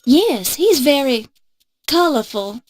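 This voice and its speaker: Earnest young novice reporter for adventure game: very high voice, unhurried, determined, music underneath. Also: female voice, big pitch swings, no room sound, full character voice. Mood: polite, unsure. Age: young adult. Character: eager, polite, plucky young woman, a novice reporter. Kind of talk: scripted adventure game acting.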